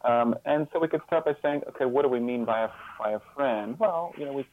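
A man speaking as an interview guest, explaining his ideas in a reflective, earnest way, often halting and repeating words. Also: medium pitch. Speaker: interview guest and author on caregiving. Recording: slightly phone-like, band-limited line.

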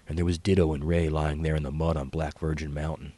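A man narrating a literary short story in a measured, hushed manner that drops toward whispers. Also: extremely low pitch, level tone, no room sound. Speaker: author reading own short story.

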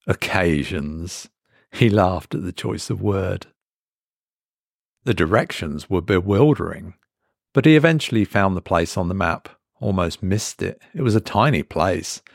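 Guy reading a spooky story, deep creaky voice, really dry sound, slow deliberate dramatic pauses. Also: dry recording. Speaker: storyteller reading horror fiction. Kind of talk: scripted dramatic narration.

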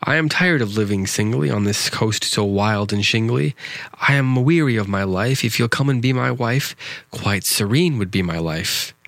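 A man's voice: fairly low pitch, normal speed, creaky, a bit bright, dry recording. A man voicing a tiny, lovelorn nonsense creature in a storybook poem, earnest and pleading in sing-song verse. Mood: earnest wooing. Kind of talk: storybook narrative poem reading.

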